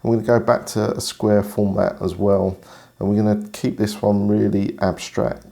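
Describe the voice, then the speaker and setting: A man with a cold, hoarse, talking through an art tutorial in a relaxed, casual way. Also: lowish voice, moderate pace. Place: indoors at a desk.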